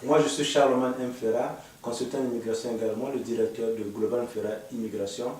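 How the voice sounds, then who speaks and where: A man hosting a studio panel talk on immigration, asking questions in a measured way with hesitant fillers. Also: medium pitch, steady tone, a little mellow, slight background noise. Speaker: host, immigration consultant. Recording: echoey room, mic far off.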